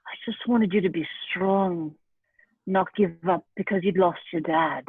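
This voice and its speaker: Casting: woman as ailing, earnest stepdad in a film-script read. Husky, muffled, dry. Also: on the low side, measured pace, no room sound. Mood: earnest, confiding. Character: fading injured stepfather, middle-aged man, earnest. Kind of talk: amateur table read.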